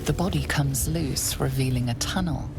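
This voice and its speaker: An ominous dark fantasy game narrator. Her voice is extremely low for a woman and heavy with creak, hushed and solemn, under loud music. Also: normal speed. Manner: hushed, dramatic.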